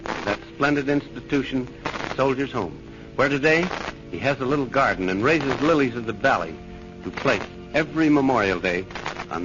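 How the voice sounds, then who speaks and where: A man narrating a scripted drama in solemn, moralizing 1930s radio style as host. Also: medium pitch. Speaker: detective storyteller and host. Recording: dull, noisy 1930s radio, music behind. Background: music.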